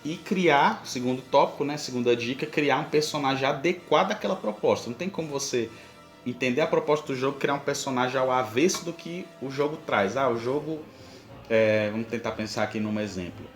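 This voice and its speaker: A Brazilian man hosts in an informal, explanatory style, addressing the listener directly. His mid-pitched voice carries noticeable room echo. Light music runs underneath. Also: a little fast. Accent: Brazilian Portuguese. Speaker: podcast host. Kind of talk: conversational advice with examples.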